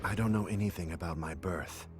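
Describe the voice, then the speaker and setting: A man playing a brooding, disciplined young martial artist in an animated film, speaking in a solemn, measured, earnest way. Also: deep voice, loud music behind the voice, natural voice. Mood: troubled, solemn. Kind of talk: dramatic animation dub acting.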